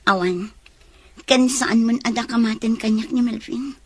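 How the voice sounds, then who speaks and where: Woman playing a sad hurt girl in her twenties or so, breathy near whisper, highish, drama. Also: unhurried. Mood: sad, hushed. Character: sorrowful, hurt young woman, withdrawn. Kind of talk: Ilocano audio drama dialogue.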